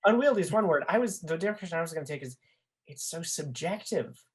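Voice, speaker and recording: A man's voice: a little high, expressive, fast talker. A man talking as a guest in a casual discussion, hesitantly working through his thoughts as he goes. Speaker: podcast guest, fan community member.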